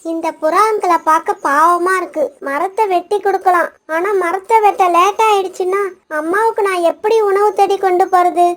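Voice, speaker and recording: A woman's voice: very high-pitched, steady tone, normal speed. A woman voicing a kind, dutiful young male bird, polite and earnest, in a children's cartoon. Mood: hesitant, earnest. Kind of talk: acted children's moral story cartoon.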